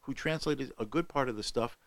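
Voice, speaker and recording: A man's voice: medium pitch, brisk, fairly bright tone, dry recording. An older man telling a long story on a podcast, with many fillers and restarts. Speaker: guest scholar. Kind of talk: podcast conversation.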